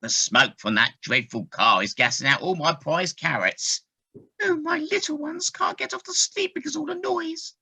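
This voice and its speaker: A woman playing an indignant, complaining countryside animal in an amateur audio play, with a raspy, theatrical voice. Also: high-pitched, moderate pace, pushed and bright, full character voice.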